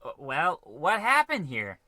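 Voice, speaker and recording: A man's voice: fairly high pitch, wildly swooping pitch, a little slow, bright, forceful tone, no echo, heavily acted. An actor playing an earnest, dutiful, slightly hesitant spaceship crewman in a comedic radio play. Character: dutiful, fretful male spaceship crewman, underling to captain. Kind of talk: old-time radio comedy acting.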